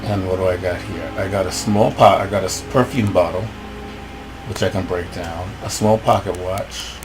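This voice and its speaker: A man with a rough voice commenting casually on his gameplay, rambling and thinking aloud. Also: low voice, steady tone, moderate pace, over music. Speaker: let's play host.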